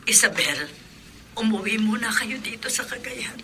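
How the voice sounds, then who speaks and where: A woman's voice: fairly high pitch, lively intonation, normal speed, pushed and bright, slight background noise. A woman playing a worried older mother in a radio drama, grave and pleading on a phone call. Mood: worried, sorrowful. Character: worried older mother phoning with bad news. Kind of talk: Filipino radio drama acting.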